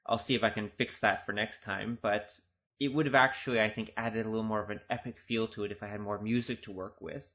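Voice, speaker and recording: A man with a fairly deep voice talks through a director's commentary in an informal, conversational way. He sounds earnest as he speaks as a producer. The recording is a little muffled and lo-fi. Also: fairly even intonation, quick pace. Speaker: audio drama producer giving director's commentary. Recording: dull, lo-fi recording.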